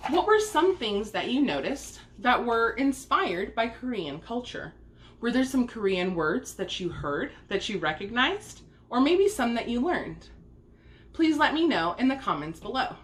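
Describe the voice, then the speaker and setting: A woman reading a picture book aloud as a story time host, expressive and speaking to young listeners. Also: on the high side. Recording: echoey room with faint background noise.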